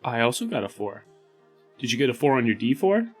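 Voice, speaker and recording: Casual mid-pitched man at a game table. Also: big pitch swings, fairly quick, husky. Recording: music under the voice. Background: background music.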